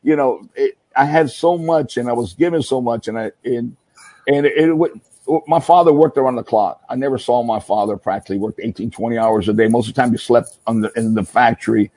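A man's voice: medium pitch, brisk, a little mellow, no room sound, rough recording. A middle-aged man, a guest on a talk show, telling rambling stories about his family. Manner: rambling, emphatic, with restarts. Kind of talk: casual storytelling.

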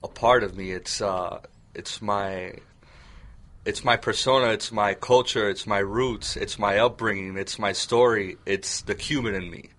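Man, radio guest, lowish voice, bright tone, earnest explaining. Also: fairly even intonation, a little slow. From United States. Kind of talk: casual interview talk. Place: radio studio.

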